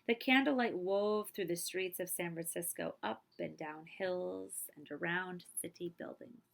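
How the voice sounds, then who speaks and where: A woman librarian reading a picture book aloud to children, with expressive, emphatic phrasing and casual asides. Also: on the low side, gravelly.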